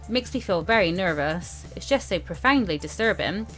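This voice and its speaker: A woman's voice: fairly low pitch, lively intonation, normal speed, a bit bright, low-quality recording. A woman hosting a casual let's-play, reading game text aloud with informal asides and stumbles over the written words. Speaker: gaming video host. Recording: music behind the voice.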